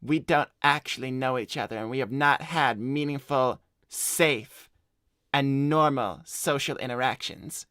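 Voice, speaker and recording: Guy playing a cocky deadpan cartoon hedgehog dude, slangy, mid pitch, real dry sound. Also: a little slow, smooth, dry recording. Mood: casual, sympathetic. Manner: slangy, swearing, deadpan bragging. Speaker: cartoon character co-hosting an advice livestream. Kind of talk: improvised in-character chat, reading donor messages.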